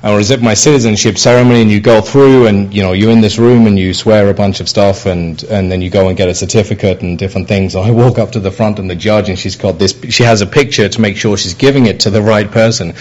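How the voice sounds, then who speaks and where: A man's voice: on the low side, fairly even intonation, fast talker, hardly any pauses, a little mellow, rough recording. A middle-aged man with an English accent preaching a sermon, easygoing and conversational, with many fillers and restarts. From England. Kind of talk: sermon with personal anecdotes.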